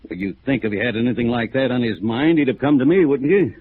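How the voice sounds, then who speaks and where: An actor playing an older small-town sheriff in a 1950s radio drama, husky and folksy, hesitant and quietly menacing. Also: male voice, medium pitch, slight background noise, natural voice. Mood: folksy, veiled warning. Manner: hesitant, folksy, drawn-out understatement. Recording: narrow, tinny old radio recording.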